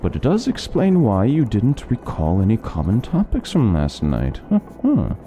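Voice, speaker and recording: An actor playing a learned doctor who eagerly lectures on lore in an interactive fantasy story. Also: male voice, on the low side, wildly swooping pitch, normal speed, warm tone, faint music behind. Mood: explaining. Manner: talkative, lecturing, fond of lore. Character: scholarly male doctor, middle-aged, chatty lore lover.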